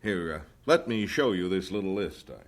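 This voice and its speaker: Lively, practical missionary husband. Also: male voice, deep voice, moderate pace, loud music behind the voice. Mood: reassuring. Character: steady, practical missionary husband, gently teasing. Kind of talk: radio drama acting.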